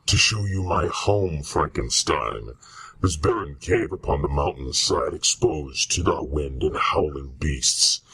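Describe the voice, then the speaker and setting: Man acting deep, slow, lonely giant monster. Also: animated, gravelly, fairly bright tone, slight background noise, full character voice, low-quality recording. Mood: bitter. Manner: solemn, grandiose, deliberate delivery. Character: lonely, bitter male giant monster, loathing and pleading. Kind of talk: old-time radio horror acting.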